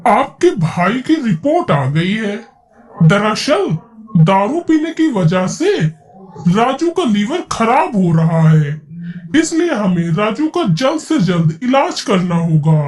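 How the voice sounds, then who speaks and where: An actor voicing a serious, matter-of-fact doctor in an animated story, explaining things formally. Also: medium pitch, takes it slowly.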